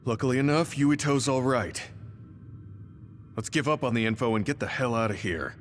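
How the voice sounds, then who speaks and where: A man's voice: medium pitch, normal speed, a little hiss or hum, close to an everyday voice. A man voicing a pragmatic, blunt squad mate in an anime-style game cutscene. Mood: wary, practical. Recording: music behind the voice.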